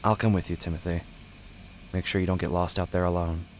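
Guy doing a calm big-brother camp kid, deep voice, soft and hesitant, audio drama, bit noisy. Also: brisk, lightly acted. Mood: calm, concerned. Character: calm, protective older camp boy, softly concerned.

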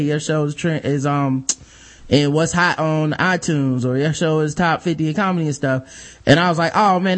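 A man hosting a podcast, talking in a laid-back, rambling way with lots of fillers and a high-pitched voice. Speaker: podcast host. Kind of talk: casual podcast chat.

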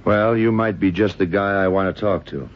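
An actor playing a shrewd, methodical detective in an old radio crime drama, gravelly and deliberate, musing as he questions. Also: low voice, fairly even intonation, a little hiss or hum, lightly acted. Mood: probing, musing. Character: shrewd, methodical middle-aged American male detective. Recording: dull vintage radio drama recording.

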